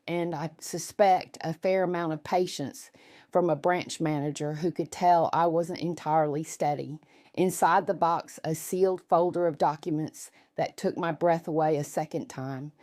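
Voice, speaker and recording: Female story narrator, low register, dry close sound, scripted first-person delivery. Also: mellow, no echo.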